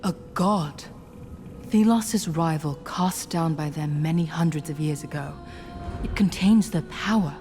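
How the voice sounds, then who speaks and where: A woman playing a solemn, earnest heroine in a fantasy game, speaking in a measured, serious way. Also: deep voice, darkish tone. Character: solemn gauntlet-wielding heroine, earnest and steady. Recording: music behind.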